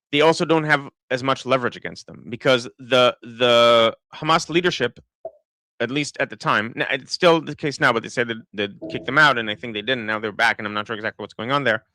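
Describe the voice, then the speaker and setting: Israeli man with an American-sounding accent, dry and bright. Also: medium pitch, no room sound. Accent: American-sounding English. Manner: thinking aloud, many restarts and fillers. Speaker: political commentator. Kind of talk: live-stream commentary reading chat.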